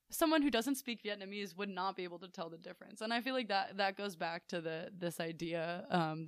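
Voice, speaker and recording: A woman's voice: on the low side, fairly bright tone, dry recording. Thoughtful young woman, dry close sound. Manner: thoughtful, rambling with restarts and fillers. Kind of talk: casual podcast conversation.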